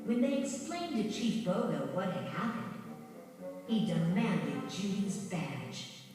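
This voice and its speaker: A woman reading a scripted children's story in a measured, dramatic storytelling manner. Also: lowish voice, very animated. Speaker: storybook narrator. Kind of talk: scripted children's read-along narration. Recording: very echoey, distant, music behind. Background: music.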